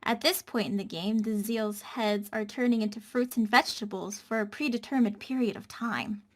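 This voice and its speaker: A woman's voice: on the high side, fairly even intonation, normal speed. A woman reading scripted narration in an amateur table read, matter-of-fact about absurd lines.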